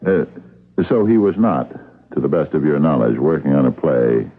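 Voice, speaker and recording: A wry radio drama cop, super deep and husky, voice swings around, muffled old broadcast. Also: male voice, sing-song, moderate pace, exaggerated voice. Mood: probing. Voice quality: gravelly. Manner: hesitant, probing, dry. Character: dogged, wry male police captain questioning suspects. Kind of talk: radio mystery drama acting.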